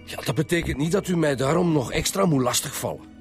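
A man's voice: on the high side, lively intonation, fast talker, faint music behind, close to an everyday voice. A man with a Flemish accent playing a defensive, self-righteous husband under police questioning in a radio drama. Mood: irritated, fed up. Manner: self-righteous, weary, curt. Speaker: actor in a radio detective serial.

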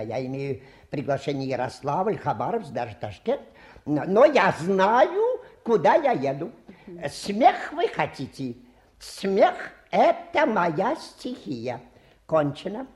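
A touchy old-fashioned showman fakir in a radio drama. His pitch swoops widely as he boasts in fussy, halting, grandiose phrases. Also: darkish tone. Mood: indignant, self-important. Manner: pompous, halting, fussy theatrical patter. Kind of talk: radio play acting.

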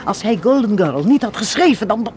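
An actress playing an agitated, insistent woman in a radio play, speaking in a nervy, halting way. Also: mid-range voice, big pitch swings, music in the background, lightly acted. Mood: agitated, incredulous. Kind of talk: radio drama acting.

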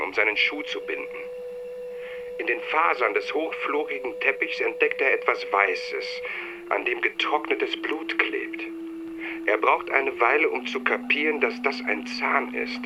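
A dull, lo-fi recording with clear background noise carries a man's voice of middling pitch. He narrates a radio drama as scripted, present-tense storytelling. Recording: dull band-limited sound, clear noise.

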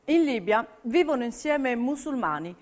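An actress playing a narrator who questions and recounts history in scripted documentary theatre. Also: female voice, on the high side, big pitch swings, normal speed, a little mellow. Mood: declamatory. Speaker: stage actress.

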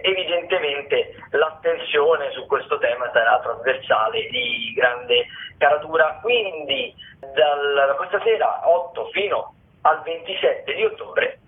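A man presenting theatre listings as a radio host, in a halting delivery with frequent self-corrections. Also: a little high. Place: radio broadcast. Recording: muffled narrowband telephone-like radio audio.

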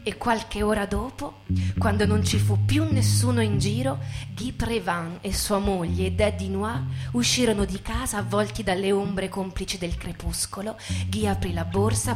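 A woman giving a dramatic literary stage reading, expressive and measured, with deliberate pauses. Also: medium pitch. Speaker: stage performer reading a narrative. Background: live musical accompaniment with guitar.

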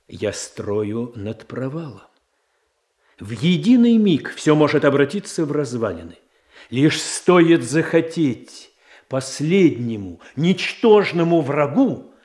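An actor playing a stern, ambitious statesman in a classical verse radio drama, speaking in a deliberate, declamatory manner. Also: mid-range voice, darkish tone. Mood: driven, forceful. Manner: deliberate, weighty, declamatory delivery. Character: stern, ambitious, calculating statesman brother of the Tsarina. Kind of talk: classical verse tragedy in a Russian radio play.

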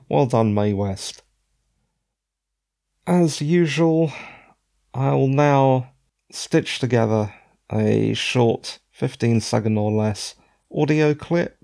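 A middle-aged man hosting a podcast with a hoarse, croaky voice, chatting in a digressive, self-deprecating way. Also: mid-range voice, mellow. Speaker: podcast host. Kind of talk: casual podcast commentary.

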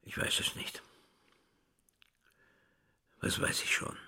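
A man's voice: slow, pushed and bright, whispered. An actor playing a troubled older school director in a drama, stern, insistent and formal as he questions. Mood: despairing. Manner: insistent, interrogating, formal address. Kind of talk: German stage-style radio play dialogue.

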